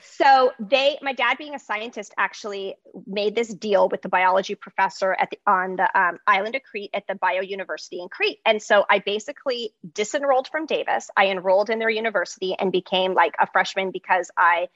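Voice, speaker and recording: Greek-native woman, lively storyteller, dry muffled sound. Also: mid-range voice, brisk, hardly any pauses, no echo. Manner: animated storytelling, frequent fillers. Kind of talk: casual conversation.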